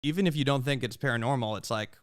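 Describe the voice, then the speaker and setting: A man sitting in as a guest, talking loosely and trailing through his thoughts. His voice sounds smooth and clear, pitched at an ordinary male level. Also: quick pace. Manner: relaxed, rambling, open-minded. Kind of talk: casual chat.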